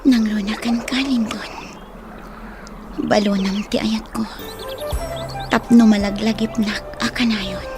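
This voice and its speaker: A woman's voice: medium pitch, steady tone, slow pace, long pauses, music in the background, close to an everyday voice. A woman playing an affectionate young sweetheart in an Ilocano-accented radio drama. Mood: tender, breathy. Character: Sinay, affectionate young woman courted by two men. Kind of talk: Philippine radio drama acting.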